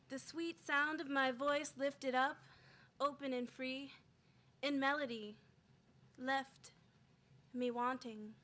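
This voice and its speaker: A woman reading her spoken-word poetry live, in measured short phrases with dramatic pauses. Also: high voice, a bit bright. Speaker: poet reading own work.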